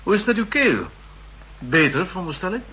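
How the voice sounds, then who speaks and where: An actor playing a brisk, authoritative general chairing a meeting with businesslike questions, in 1960s radio drama style. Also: male voice, sits high, big pitch swings, pushed and bright, husky, exaggerated voice, low-quality recording. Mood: brisk, courteous.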